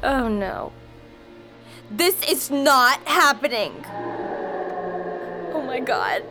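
Excitable, scared young woman, girlish and very high, music underneath, horror radio play. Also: very slow, full character voice. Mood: frightened. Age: young adult. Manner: girlish, breathless pleading, slangy. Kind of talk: audio drama acting.

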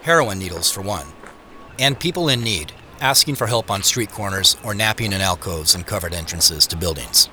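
A man's voice: sits low, expressive, normal speed, bright, forceful tone, slight background noise, exaggerated voice. An actor playing a harried, self-conscious man in an audio drama, speaking in a wry, confiding way. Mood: wry, reflective. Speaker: narrator and lead actor. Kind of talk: first-person audio drama narration and acting. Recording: produced audio drama with music, street ambience.

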